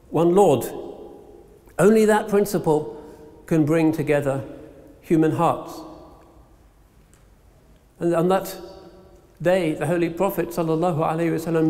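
A middle-aged man delivering a solemn, measured sermon in short rhetorical phrases with pauses, as an imam. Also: high-pitched, darkish tone. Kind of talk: Eid sermon. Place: large mosque interior, at a lectern. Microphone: microphone on a stand. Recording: long hall reverb.

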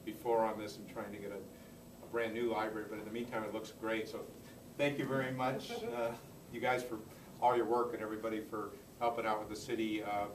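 Even-toned middle-aged man, low voice. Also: fairly quick. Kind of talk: informal, unscripted thank-you speech. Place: library community room, indoors. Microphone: far camera microphone. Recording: distant camera, echoey room, clear noise.

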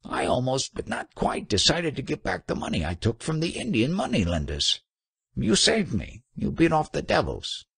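A man acts out a giant of a trader from a storybook, boisterous and easily spooked. He bellows effusively, his voice leaping well above a narrator's register and swinging widely, with a rough husky edge. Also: medium pitch, huge pitch swings, normal speed, dry recording, voice pushed up, full character voice. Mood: terrified, pleading. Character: huge, boisterous, superstitious male Tibetan trader, bellowing and fearful.

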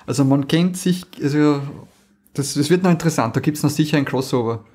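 A man, co-hosting a podcast in casual chat, rambling and halting as he talks. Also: a little high, a little mellow, husky. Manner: rambling, halting, lots of fillers. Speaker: podcast co-host.

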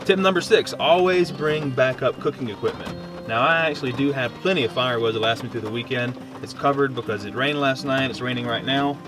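A man's voice: medium pitch, brisk, hardly any pauses, music in the background. A man in his thirties with an American accent, talking casually to camera as he gives camping advice outdoors. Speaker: camping vlogger. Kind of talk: casual instructional talk to camera. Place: outdoors at a forest campsite under a shelter. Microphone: on-camera shotgun mic at short distance.

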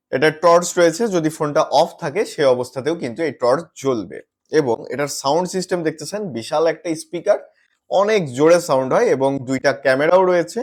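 A man presenting a product review to viewers as a gadget reviewer, mixing in English words and phrases. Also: on the high side.

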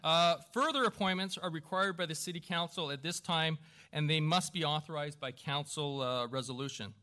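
A man speaking as mayor at a council meeting, running through formal procedure in a halting, self-deprecating way with informal asides. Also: a little high, bright, forceful tone. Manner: halting, self-deprecating, stumbling over names. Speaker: newly elected mayor chairing a council meeting. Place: council chamber with a small audience.

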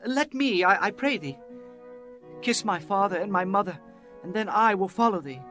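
Impassioned, high-pitched ancient Israelite. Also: male voice, animated, normal speed, pushed and bright. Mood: pleading. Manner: fervent, declamatory. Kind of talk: dramatized Bible acting. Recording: music bed under the voice.

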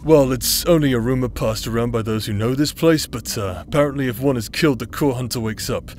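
A man's voice: medium pitch, brisk, a little mellow, a little hiss or hum, natural voice. A man playing a cocky, teasing adventurer in an audio roleplay, with a drawling, playful manner. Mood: wry. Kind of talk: dramatic audio roleplay acting.

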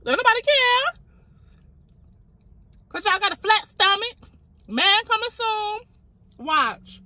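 A woman's voice: very high voice, big pitch swings, takes it slowly, bright, forceful tone, no echo. A woman, talking casually to camera from a parked car, blunt and outspoken. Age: 20s. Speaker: vlogger. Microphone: phone camera on dashboard.

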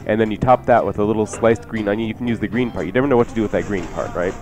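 An American man with a fairly low voice hosts a cooking show on the radio. He talks informally and with banter as he explains, and music plays clearly behind him. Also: steady tone, very fast. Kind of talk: casual cooking chat on live radio. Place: radio studio.

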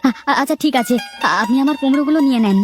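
A woman plays a desperate, humble male pumpkin farmer in a cartoon morality tale. The voice is rather high and plaintive, begging as it goes. Music plays clearly underneath. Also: racing, darkish tone, close to an everyday voice. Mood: grateful. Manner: wailing, pleading, humble. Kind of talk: cartoon voice acting. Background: background music.

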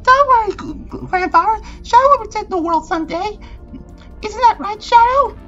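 Little girl role, man in falsetto, sweet, earnest, very high-pitched, for a cartoon parody. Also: lively intonation, moderate pace, a bit bright, dull audio, faint music behind. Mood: reassuring. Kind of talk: improvised parody fandub.

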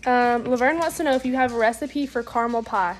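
A woman's voice: fairly high pitch, quick pace, soft background music. Young woman reading comments. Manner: casual, reads comments aloud, says y'all. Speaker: co-host reading viewer comments. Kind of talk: reading comments on a live stream. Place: home kitchen.

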